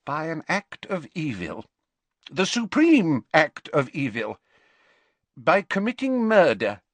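Man voicing a flustered older wizard, high-strung pitch. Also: dry recording, full character voice. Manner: gruff, faltering, emphatic. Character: flustered, uneasy older male wizard professor. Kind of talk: audiobook character voice.

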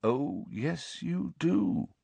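A man voicing a calm, very old male headmaster who speaks quietly and gently. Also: mid-range voice, measured pace, no room sound. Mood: calm, gentle.

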